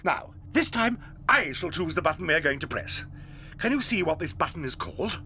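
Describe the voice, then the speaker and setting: An actor playing an eccentric, excitable old male chocolate maker in a full-cast audio drama. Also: on the high side, fairly quick, gravelly, husky, full character voice. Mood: excited. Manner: excitable, theatrical, lively swings.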